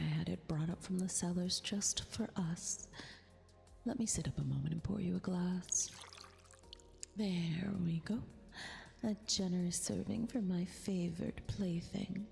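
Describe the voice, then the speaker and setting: A woman's voice: fairly low pitch, gravelly, a little mellow. A woman voicing a vain, possessive eldritch empress in an ASMR roleplay, with formal, condescending, intimate delivery. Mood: satisfied, indulgent. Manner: imperious, condescending, formal diction, drawn-out delivery. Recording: long reverb, music behind. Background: music.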